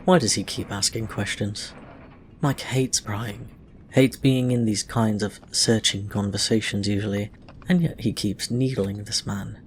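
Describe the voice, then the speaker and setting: A man's voice: lowish voice, fairly even intonation, unhurried, slight background noise. A man reading fiction aloud as a scripted narration. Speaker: audio fiction reader. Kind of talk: scripted story narration with acted dialogue.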